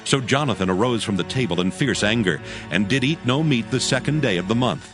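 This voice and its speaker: A man's voice: mid-range voice, animated, a little fast. A man giving a solemn, scripted dramatic narration of scripture in a storytelling manner. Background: music.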